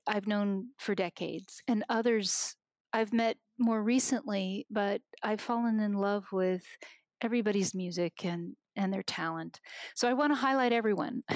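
A woman's voice: medium pitch, dry recording. A woman guest on a talk show, talking casually and with enthusiasm, with some restarts. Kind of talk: casual chat. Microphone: remote recording outside the studio.

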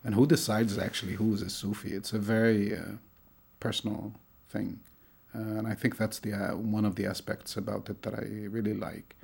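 A middle-aged man from Tunisia, a lecturer, talking thoughtfully in an interview, with many pauses and fillers. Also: lowish voice, flat delivery, creaky, a little mellow, slightly rough. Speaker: lecturer in Arabic studies. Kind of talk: conversational interview.

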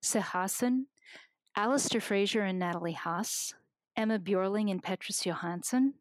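A woman's voice: medium pitch, moderate pace, dry recording. A woman guest on a talk show, talking casually and with enthusiasm, with some restarts. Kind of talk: casual chat. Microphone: remote recording outside the studio.

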